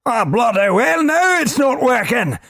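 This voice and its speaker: A man voicing a small, irate male machine minder who shouts and swears in nearly every phrase. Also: extremely high pitch, big pitch swings, measured pace, vocal fry, dry recording, studio quality, voice pushed up, exaggerated voice. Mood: exasperated. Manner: shouting, spits the same curse constantly.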